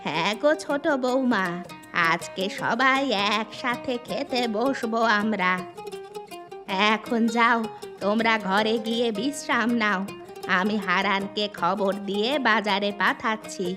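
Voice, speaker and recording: A woman playing a gentle, loving old grandmother in a cartoon story, speaking in a drawn-out, soothing way with music behind. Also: medium pitch, close to an everyday voice. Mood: affectionate, welcoming. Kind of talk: cartoon fairy-tale story acting.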